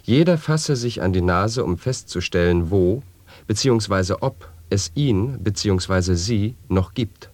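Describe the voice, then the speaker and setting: A solemn radio-play monologist declaims nonsense wordplay. The voice is deep and unhurried, each word set down deliberately. The sound is dry, with no room around it. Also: male voice, expressive, a little mellow, no echo. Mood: solemn, declamatory. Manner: deliberate, measured, declamatory delivery.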